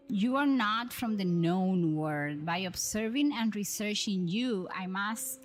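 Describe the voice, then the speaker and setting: Woman streamer musing aloud over music. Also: mid-range voice. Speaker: live streamer. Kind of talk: casual chat while playing a game. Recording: music playing behind the voice. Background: background music.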